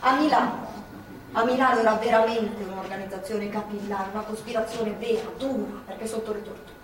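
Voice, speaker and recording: A woman's voice: mid-range voice, steady tone, brisk, very echoey, natural voice. An actress playing an elderly former partisan woman with an Emilian accent, reminiscing in a chatty way in a live stage recording from far off. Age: older. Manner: chatty, reminiscing, drifts into asides. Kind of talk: theatre reading, recorded live.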